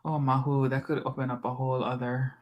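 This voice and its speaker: A woman's voice: a bass voice, level tone, a little fast, muffled recording, slight background noise. A woman from Hawaii hosting a podcast, chatting casually in an informal, reflective and meandering way. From Hawaii, USA. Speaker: podcast host. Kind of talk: casual chat.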